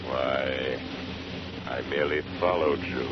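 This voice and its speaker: An actor playing an unseen crime-fighting avenger in old-time radio style, measured, commanding, calm and sardonic. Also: male voice, very deep voice. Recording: muffled, noisy vintage radio drama recording.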